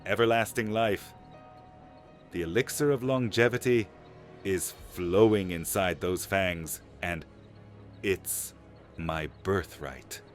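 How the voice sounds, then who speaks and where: A man's voice: deep voice, takes it slowly, pauses often, faint music behind. A man playing an eager older alchemist in an audio drama, theatrical, florid and ingratiating. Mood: pleading, grandiose. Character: eager older alchemist begging to become a vampire. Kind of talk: audio drama roleplay acting.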